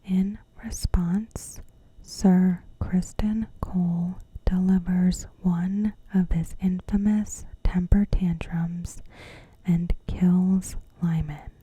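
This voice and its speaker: A woman softly whispering a scripted recap as an ASMR host, in short, deliberate phrases with pauses. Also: lowish voice, fairly even intonation, rough recording. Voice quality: soft, breathy whisper. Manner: slow, deliberate, pausing between short phrases.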